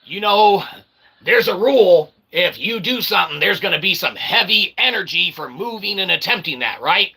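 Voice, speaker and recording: A man's voice: high-pitched, expressive, moderate pace, creaky, bright, forceful tone, husky, poor audio. A man giving an informal, rambling explainer in a brash, irreverent, self-deprecating way, often checking in with short tag words. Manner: repeatedly tags phrases with "okay" and "all right". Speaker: independent streamer explaining ideas.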